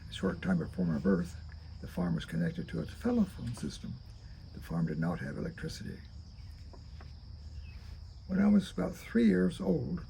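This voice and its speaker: An elderly man with a heavily creaky, very dark, soft-edged voice. He reads his own memoir haltingly and deliberately, over a faint insect-like hiss. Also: high voice, poor audio. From rural Kentucky, United States. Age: 80s. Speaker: storyteller reading own memoir. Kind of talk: reading a childhood memoir aloud.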